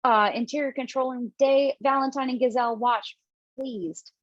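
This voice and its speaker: A woman's voice: sits high, fairly even intonation, normal speed, no room sound. A woman reading stage directions in scripted narration at a table read, now and then stumbling over words. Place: remote online table read. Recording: dull, dry lo-fi call audio.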